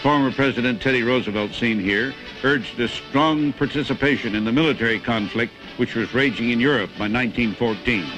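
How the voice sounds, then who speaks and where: A man's voice: medium pitch, a little fast, few pauses, poor audio. A man reading scripted narration for a historical documentary about the past. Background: music.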